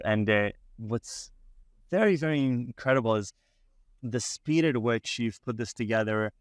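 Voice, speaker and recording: A man's voice: fairly low pitch, smooth, no room sound. A man hosting an interview, encouraging the guest and speaking with frequent fillers and repeated words. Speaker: podcast host.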